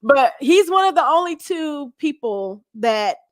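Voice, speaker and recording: A woman's voice: high-pitched, sing-song, unhurried, dry recording. Woman guest chatting candidly, close dry sound. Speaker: talk show guest. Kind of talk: casual interview conversation about marriage.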